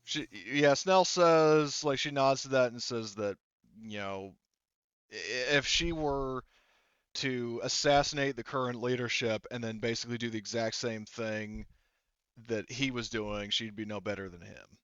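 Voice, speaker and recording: Male game master, smooth mid-range voice, rambling and explanatory, self-correcting, dry slightly muffled close recording. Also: mid-range voice, measured pace, a bit bright, no room sound. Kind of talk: improvised tabletop game narration.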